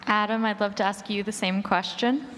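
A woman's voice: mid-range voice, fairly even intonation, normal speed, fairly bright tone. A woman moderating a panel, putting short questions to the speakers. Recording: echoey hall with faint room noise.

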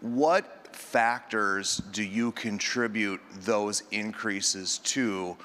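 Bright-voiced man asking from the audience. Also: on the low side, extremely slow. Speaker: audience questioner. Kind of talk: unscripted question. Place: conference hall. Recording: reverberant hall with background noise.

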